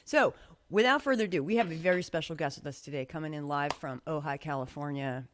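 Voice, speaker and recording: A woman's voice: sits low, quick pace, a little hiss or hum. A woman hosting a live streamed show, chatting in an upbeat, easygoing way over a video call. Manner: chatty, upbeat, laughs easily. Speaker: host. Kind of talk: casual chat.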